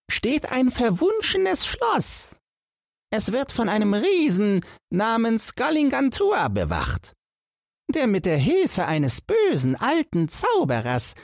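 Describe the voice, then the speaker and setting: A kindly old man from a fairy-tale cottage, hospitable and confiding. The voice is pushed far up in pitch and swings widely. He takes his time over the words. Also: no echo, studio quality, heavily acted. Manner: slow, high-pitched old-man storytelling. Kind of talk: fairy-tale audiobook character.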